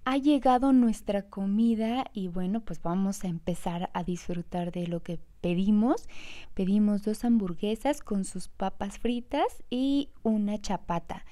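A Mexican woman chats easily to her viewers, with a mellow, soft-edged tone and an everyday middle pitch. She talks in a friendly, unhurried vlog style, pointing things out as she goes. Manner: chatty, addresses viewers directly, fond of diminutives. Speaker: vlogger. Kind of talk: casual travel vlog narration.

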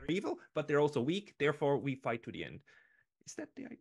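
A man in his thirties speaks in a fairly high voice. He hosts a conversational interview from a room over a video call. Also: wildly swooping pitch, fairly quick, creaky. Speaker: interview host.

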